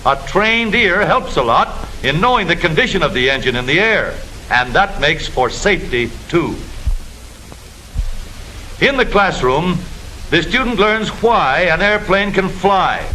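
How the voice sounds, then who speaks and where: A man's voice: sits high, sing-song, a little slow, sharp tone. An American man delivering scripted 1940s newsreel-style narration for a military training film, in a declamatory, instructive manner. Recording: noisy old film soundtrack.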